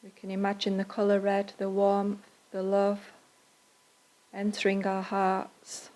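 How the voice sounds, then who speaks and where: A woman leading a guided meditation, speaking gently in unhurried phrases with pauses. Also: mid-range voice, monotone, poor audio. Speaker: meditation leader. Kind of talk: guided Buddhist loving-kindness meditation.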